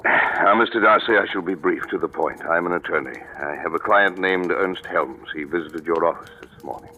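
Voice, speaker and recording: A man playing a brisk, self-important attorney in a 1940s radio drama, speaking in a brusque, officious way. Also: deep voice, bright, forceful tone. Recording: dull vintage radio recording, faint music.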